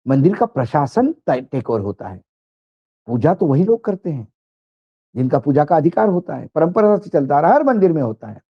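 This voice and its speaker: A man's voice: fairly high pitch, big pitch swings, normal speed, slightly rough, lo-fi sound. A man presenting political commentary to viewers in an emphatic, rhetorical way.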